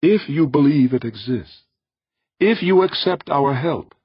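A man voicing a calm, ancient alien being who speaks in a flat, intoning way. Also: fairly high pitch, a little slow, breathy edge, dry recording, voice pushed up. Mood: solemn. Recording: dull dry recording.

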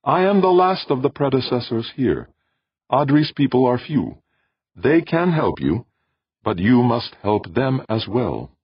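A man voicing a calm, ancient alien being who speaks in a flat, intoning way. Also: mid-range voice, unhurried, breathy edge, dry recording, pitched above the performer's own voice. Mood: solemn. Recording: dull dry recording.

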